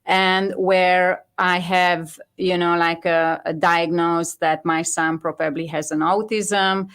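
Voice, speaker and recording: A Hungarian woman speaking English with a Hungarian accent, giving an earnest, halting personal testimony as a guest. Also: fairly low pitch, level tone, a bit bright, clear, resonant tone. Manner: earnest, halting non-native phrasing. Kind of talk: personal testimony on a talk show.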